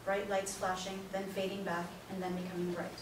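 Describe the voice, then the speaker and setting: A woman's voice: medium pitch, flat delivery, a little fast. A woman reading stage directions as scripted narration for a play reading. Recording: echoey room, distant mic, noisy.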